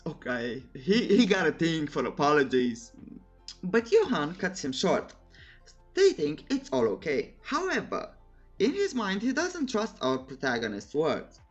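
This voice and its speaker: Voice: mid-range voice, wildly swooping pitch, unhurried, husky, lo-fi sound, soft background music. A narrator reading a scripted comic recap in a playful, teasing, theatrical way, with humorous asides.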